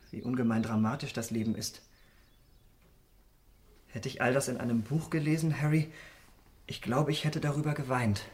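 An actor playing a vain, impulsive, romantic young gentleman in a literary radio drama, earnest and theatrical in manner. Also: a little high, natural voice. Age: young adult.

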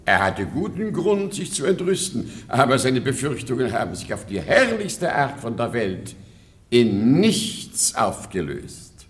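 A man's voice: medium pitch, big pitch swings, unhurried. An actor playing a proud, pompous nobleman father who declaims grandly in a comedy radio play. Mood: smug.

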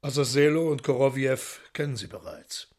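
An actor playing the devil in a radio drama, an imperious, mocking lord with a hoarse, gravelly voice who moves between courtly politeness and gruff scolding. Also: male voice, medium pitch, fairly even intonation, moderate pace, darkish tone, lightly acted. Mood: courteous. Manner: courtly formal phrases mixed with gruff scolding.

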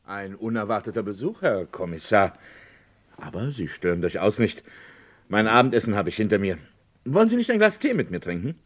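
An actor playing a genteel, hospitable village notary in a German radio drama, with a husky voice and polite manner. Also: male voice, fairly low pitch, big pitch swings, a little fast. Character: courteous, hospitable male village notary, discreet.

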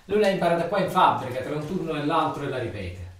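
A man's voice: fairly high pitch, animated, quick pace, a bit bright. A man with a Neapolitan accent delivers a theatrical monologue in a colloquial, emphatic, repetitive storytelling manner. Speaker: stage monologue actor. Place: roomy interior, far from microphone. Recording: distant echoey room, faint noise.

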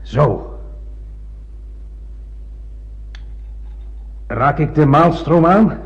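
An actor playing an obsessed, blind older spaceship captain in a radio drama, declaiming poetically and grandly. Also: male voice, fairly high pitch, lively intonation, takes it slowly. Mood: grand, commanding. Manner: declamatory, poetic, swinging from hushed to ranting. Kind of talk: theatrical radio drama monologue. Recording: muffled old radio play recording.

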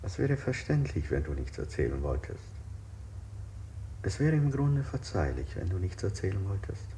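An actor playing an interrogator who coaxes with falsely considerate pressure, deliberate and repetitive, in a 1960s German radio play. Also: male voice, deep voice, very animated, mellow, a little hiss or hum. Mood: soothing, coaxing.

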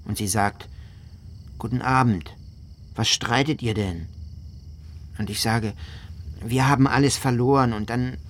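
A man's voice: medium pitch, fairly bright tone, close to an everyday voice. An actor playing a male flood survivor, a husband who recounts hardship in a radio drama, emphatic when quoting people.

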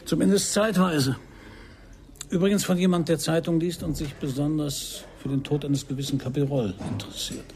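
An actor playing a wry, self-assured Parisian private detective in a radio crime drama, speaking dryly in terse, laconic sentences. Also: male voice, a little high, fairly even intonation, moderate pace, a little mellow, slight background noise, lightly acted. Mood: matter-of-fact. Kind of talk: first-person radio crime drama narration and dialogue.

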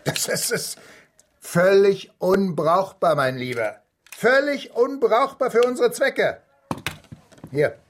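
An actor in a German radio drama playing a swaggering, cheerful gentleman adventurer, jovial and teasing, with a husky voice. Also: fairly high pitch, slight background noise. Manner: jovial, teasing, old-fashioned gentlemanly turns of phrase. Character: swaggering, cheerful English adventurer and gun enthusiast.

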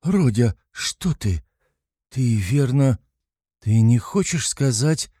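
A man's voice: lowish voice, moderate pace, mellow, slightly rough, no room sound, clean studio sound. A man voicing a timid, anxious middle-aged mother in an audiobook, tearful and easily frightened, pleading and fretful.

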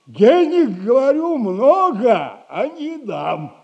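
An actor playing a sly, sardonic old retired soldier who lends money, in a 1960s radio play. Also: male voice, very high-pitched, very animated, creaky, muffled recording, exaggerated voice. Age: older. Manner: slow, teasing, sardonic advice.

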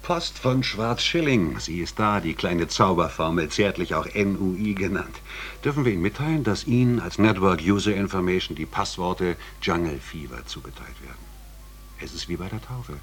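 A man's voice: low voice, animated, moderate pace, a little hiss or hum. A man playing a keen, defensive computer enthusiast in a scripted radio play, speaking matter-of-factly with a touch of irony. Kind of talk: radio feature dialogue, scripted.

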